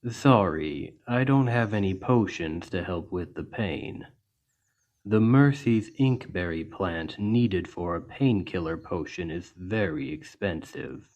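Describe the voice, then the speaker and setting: A man voicing a towering, gentle orc healer who speaks patiently and reassuringly in an ASMR roleplay. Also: low voice. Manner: slow, gentle, reassuring, deliberate. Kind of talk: ASMR roleplay spoken to the listener.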